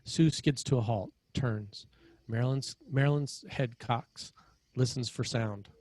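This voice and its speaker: A man reading screenplay action as narrator, in clipped, dramatic, measured phrases. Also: mid-range voice, a little mellow. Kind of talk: scripted screenplay narration, present tense.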